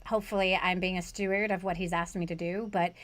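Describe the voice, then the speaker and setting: A woman's voice: fairly low pitch, flat delivery, quick pace. A woman hosting a casual conversation about online tutoring, earnest and chatty, asking rhetorical questions. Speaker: host, online tutoring coach.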